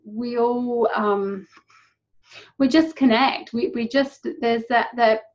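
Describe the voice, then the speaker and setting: A woman's voice: fairly high pitch, steady tone, takes it slowly, highs cut off. A woman from England with an English accent, hosting an interview and talking about spirituality over a video call. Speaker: interview host. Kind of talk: casual interview chat.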